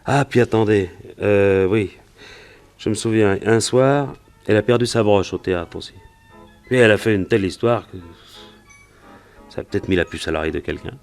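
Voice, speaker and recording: Casting: man playing a low-voiced cowboy gold-hunter, wary and colloquial storyteller, for a radio detective play with music underneath. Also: moderate pace, long pauses, darkish tone, natural voice. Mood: explaining, recalling. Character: male cowboy and gold prospector, plain-spoken, wary, recounting his story. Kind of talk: French radio detective drama acting.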